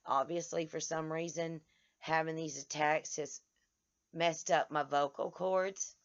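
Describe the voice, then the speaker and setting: A hoarse woman vlogging casually to her followers outdoors, tired and halting, often restarting her words. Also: low-pitched, steady tone, highs cut off. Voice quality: halting, many restarts and stammers. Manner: tired, speaks in short broken phrases. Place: outdoors in the sun.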